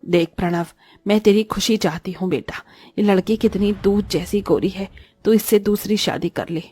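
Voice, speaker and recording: A woman performs a spiteful cartoon mother-in-law, nagging and taunting with melodramatic relish. The voice sits at a medium pitch in a dry recording, with faint music underneath. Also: steady tone, moderate pace, dark tone, slightly rough, dry recording, natural voice. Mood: scheming, greedy. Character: spiteful, greedy, scolding Indian mother-in-law. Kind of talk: Hindi cartoon story voice acting.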